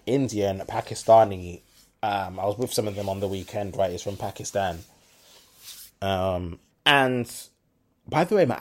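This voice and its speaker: A man from Birmingham with a Birmingham accent, hosting a podcast in a blunt, animated, casual way, swearing freely and swinging between loud and quiet. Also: mid-range voice, husky. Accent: Birmingham English. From Birmingham, England. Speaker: podcast host. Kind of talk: casual opinionated chat.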